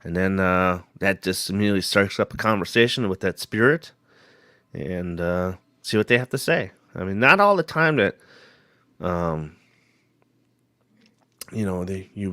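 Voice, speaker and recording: A man hosting a paranormal live stream, hoarse from a cold, rambling casually and greeting viewers by name. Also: sits low, a bit bright, no room sound, clean studio sound.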